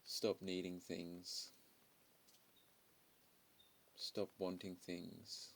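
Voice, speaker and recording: A man delivering a dramatic spiritual monologue in solemn, deliberate short declarative phrases. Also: low-pitched, completely flat delivery, gravelly, a little hiss or hum.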